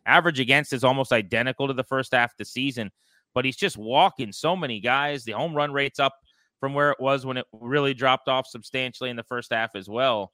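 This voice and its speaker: A man's voice: mid-range voice, a little fast, sharp tone, no room sound. An American man hosting a sports podcast, talking through baseball in a conversational way.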